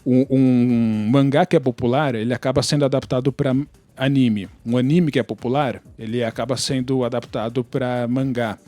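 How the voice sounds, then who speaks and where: A man's voice: mid-range voice, fairly even intonation, moderate pace, warm tone, dry recording, soft background music. A man with a Brazilian Portuguese accent explaining his opinions in a casual podcast discussion. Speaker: podcast participant.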